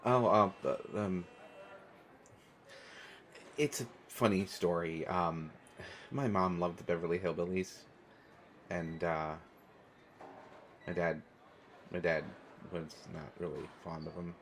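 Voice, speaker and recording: Male actor as shy kind stranded traveler, low pitch, slow hesitant delivery, apologetic stammer, naturalistic audio roleplay. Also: steady tone, a little hiss or hum. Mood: awkward, earnest. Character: shy, awkward, kind young man stranded at an airport.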